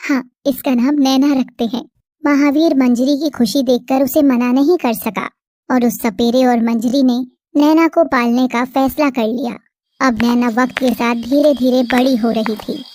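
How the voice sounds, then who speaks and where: A woman narrating a cartoon story in an even storytelling manner. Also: high-pitched, a little slow, dry recording.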